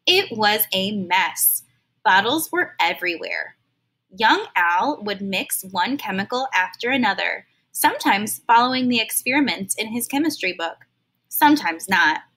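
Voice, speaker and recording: Bright-voiced woman reading a storybook aloud. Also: medium pitch, unhurried. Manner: expressive, stops to explain words simply. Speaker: teacher reading aloud to children. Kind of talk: storybook read-aloud with explanatory asides.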